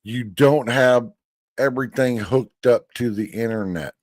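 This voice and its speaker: A man's voice: medium pitch, unhurried, darkish tone, no room sound. A man in his fifties giving blunt, folksy commentary, now and then swearing. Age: 50s. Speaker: commentator reacting to a hearing. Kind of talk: casual commentary over a clip.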